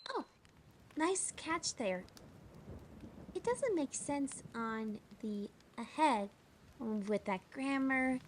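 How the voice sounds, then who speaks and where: A woman narrating a fantasy audio drama in a slow, soft, measured way. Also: high-pitched, lively intonation, a little hiss or hum.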